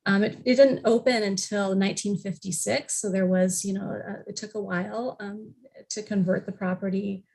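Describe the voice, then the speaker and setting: A woman with a medium-pitched voice gives an informal historical explanation on a panel. She speaks haltingly, pausing and hesitating often between phrases. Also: steady tone, vocal fry, darkish tone, poor audio. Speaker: library representative on a panel.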